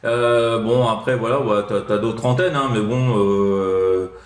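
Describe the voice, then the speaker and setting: A man's voice: on the low side, steady tone, a little slow. A man with a French accent from France, chatting casually as a CB radio operator giving advice, in a hesitant, rambling way full of tag words. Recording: roomy echo.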